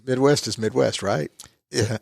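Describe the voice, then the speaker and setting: A man hosts a local business radio show in a loose, joking way. He restarts sentences often and drifts off topic. His voice is middle-pitched and dry, with no room around it. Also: unhurried, creaky, darkish tone, no echo. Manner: rambling, joking, many restarts. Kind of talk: casual radio interview.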